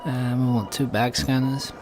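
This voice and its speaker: A man's voice: mid-range voice, fairly even intonation, normal speed, background noise. A man giving casual let's play commentary, thinking aloud in a hesitant way as he goes. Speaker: gaming video host.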